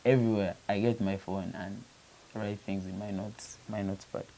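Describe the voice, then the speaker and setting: A man's voice: on the low side, flat delivery, normal speed, warm tone, low-quality recording. A man from Uganda, a musician being interviewed, talking earnestly in a casual chat and often saying "you know" as he restarts phrases. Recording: faint background hiss.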